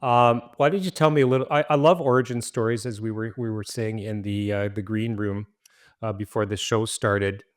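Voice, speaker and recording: A man's voice: lowish voice, steady tone, moderate pace. A man hosting a podcast, asking probing questions in a casual way. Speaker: podcast host. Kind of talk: podcast interview.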